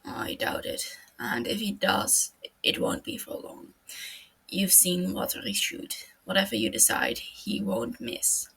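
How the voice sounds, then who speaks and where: A woman reading the lines of a detached male genius detective, measured and hushed, with a gravelly voice. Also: high-pitched, very animated, exaggerated voice.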